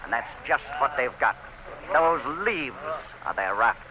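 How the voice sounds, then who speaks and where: An actor playing a defiant, domineering plantation owner who barks orders and boasts in old-time radio drama style. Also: male voice, medium pitch, expressive, a little slow, noisy background, lightly acted. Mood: confident, brisk. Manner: commanding, boastful, barking orders.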